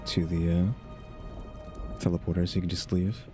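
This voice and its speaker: A man's voice: low-pitched, completely flat delivery, normal speed, music in the background. A man giving casual, chill commentary on a video game, rambling and musing aloud. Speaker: gaming video host.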